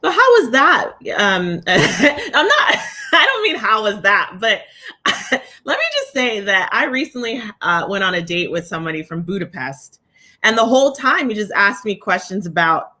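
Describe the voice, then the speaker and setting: A woman in her thirties moderating a video call panel, animated and laughing often as she talks. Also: fairly low pitch. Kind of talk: conversational panel discussion. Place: indoors in a home room, on a video call.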